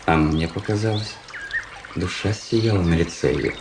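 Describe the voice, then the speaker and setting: In a roomy, noisy radio-play recording, a smitten young soldier played by an actor speaks with ardent, theatrical earnestness. His voice is low and insistent. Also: moderate pace, natural voice. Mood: smitten, earnest. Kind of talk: radio drama acting. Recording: echoey, noisy, outdoor ambience.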